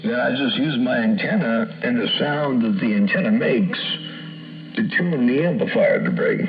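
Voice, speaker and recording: A man's voice: mid-range voice, big pitch swings, moderate pace, gravelly, muffled recording, over music. A middle-aged American man chatting in CB radio slang and call signs, with a jokey, folksy manner, over a radio transmission. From US West Coast. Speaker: CB radio operator. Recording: band-limited CB radio sound.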